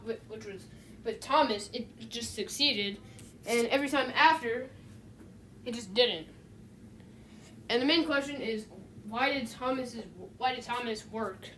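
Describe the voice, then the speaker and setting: A woman giving casual, unscripted video essay commentary in a halting, rambling way, often restarting her phrases. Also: fairly high pitch, a bit bright, slight background noise, low-quality recording.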